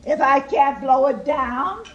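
A greedy, menacing storybook wolf, acted out by a woman, blustering loudly in slow, boastful threats. The delivery swings widely in pitch and echoes around a noisy room. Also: lively intonation, dull audio. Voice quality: loud, blustering. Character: gleeful, greedy, menacing male big bad wolf. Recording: echoey room with clear background noise.

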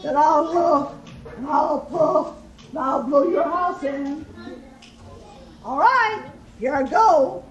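A woman voicing a gleeful, greedy, menacing male wolf in a storybook tale, loud and blustering with drawn-out, boastful threats. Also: high voice, highs cut off. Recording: echoey room with clear background noise.

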